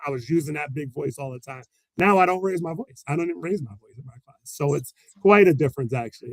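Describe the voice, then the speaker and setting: Animated, laughing man telling stories. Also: on the high side, vocal fry, no room sound, rough recording. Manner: animated storyteller, laughs often, acts out quotes. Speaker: special education teacher and guest. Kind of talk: conversational interview answers.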